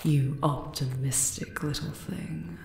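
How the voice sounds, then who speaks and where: Actress as monster queen, fantasy ASMR: low, very slow, majestic yet affectionate, roomy sound. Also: fairly even intonation, creaky, a little hiss or hum, full character voice. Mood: teasing. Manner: regal, tender, deliberate. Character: regal, affectionate, teasing spider monster queen. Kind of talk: ASMR roleplay acting. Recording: roomy echo on the voice.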